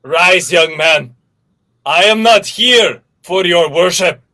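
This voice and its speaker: Improv performer, man, high bright tone, pompous delivery. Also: expressive, slow. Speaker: improv comedian. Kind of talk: improvised comedy scene.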